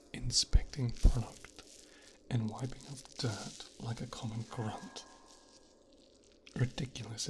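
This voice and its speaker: A man playing an arrogant, bitter young son of a mafia boss in an ASMR roleplay, coldly menacing, with a breathy half-whisper and a deliberate, condescending delivery. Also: medium pitch, lightly acted. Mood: smug, teasing. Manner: slow, deliberate, condescending, profane. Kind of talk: scripted ASMR roleplay, speaking directly to the listener.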